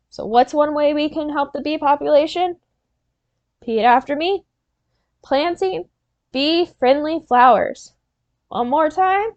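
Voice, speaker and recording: High-voiced woman reading aloud to children. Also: dry recording, highs cut off. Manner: encouraging, instructional, pausing to prompt and praise listeners. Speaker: teacher reading a picture book aloud to children. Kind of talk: interactive read-aloud, storytelling with questions to young listeners.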